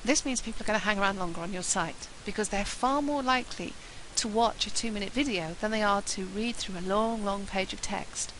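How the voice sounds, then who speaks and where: A woman talking about marketing in a chatty, upbeat way, her voice husky from a flu. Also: medium pitch, brisk, hardly any pauses, fairly bright tone, slight background noise, poor audio. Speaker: marketing presenter. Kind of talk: solo talk to camera.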